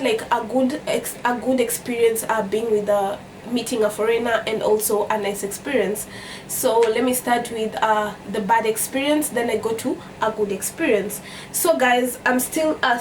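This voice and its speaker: A young woman from Kenya with a Kenyan accent, chatting casually as a vlogger and repeating phrases for emphasis. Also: fairly high pitch. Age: young adult. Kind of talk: casual storytime chat to camera. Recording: distant mic with background noise.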